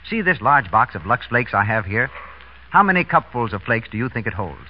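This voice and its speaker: An American man announcing and pitching a sponsor's product in 1930s radio style, with a husky voice. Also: big pitch swings, brisk, slight background noise. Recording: muffled vintage radio broadcast.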